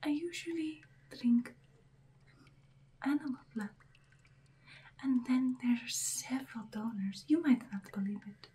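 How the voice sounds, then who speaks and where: A woman's voice: fairly high pitch, dark tone, exaggerated voice. A woman playing an imperious, hungry, centuries-old vampire bride in a whispered, ASMR roleplay, close to the microphone. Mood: haughty, savoring. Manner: breathy whispering, drawn-out theatrical delivery.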